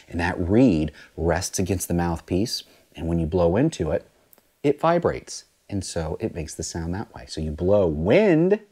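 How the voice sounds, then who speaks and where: Brief: deep-voiced male music teacher, very expressive, sing-song read-aloud for kids. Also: breathy edge. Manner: animated, sing-song imitations of instrument sounds. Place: small studio room with bookshelves. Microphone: lapel mic.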